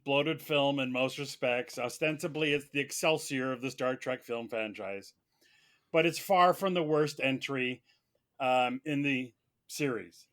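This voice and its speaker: Man, slightly high pitch, halting, wordy, formal vocabulary, mock defense argument. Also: fairly bright tone. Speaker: podcast guest playing a mock defense lawyer. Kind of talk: semi-prepared humorous film argument.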